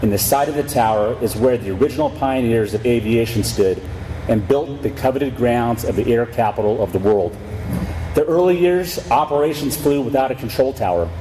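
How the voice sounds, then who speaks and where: A military commander, a man with a dark, relaxed tone, gives a formal prepared address. His phrasing is measured and declamatory. The sound carries long hangar reverb and a clear bed of ambient noise. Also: mid-range voice. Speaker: military squadron commander speaking at ceremony. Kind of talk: prepared ceremonial speech. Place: large open hangar facing airfield.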